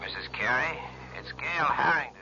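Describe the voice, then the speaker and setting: An actor playing a grave, insistent police lieutenant in an old-time radio mystery, speaking deliberately and persuasively. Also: male voice, fairly high pitch, lively intonation, vocal fry. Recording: dull old radio recording, faint noise.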